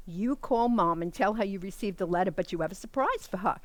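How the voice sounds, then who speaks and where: A sarcastic, take-charge sister from comic radio theater, acted by a woman. Her middle-pitched voice is brisk and emphatic, with a dry, close sound. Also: expressive, dry recording, natural voice. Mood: scheming. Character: bossy, sarcastic married sister, quick to scheme. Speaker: actor in community theater.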